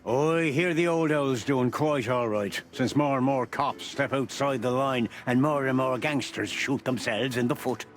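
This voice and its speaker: A man's voice: mid-range voice, unhurried, hardly any pauses, faint music behind, close to an everyday voice. A man playing a jovial old beaver who sells newspapers in a noir adventure game, folksy and avuncular with a chuckling manner. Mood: knowing.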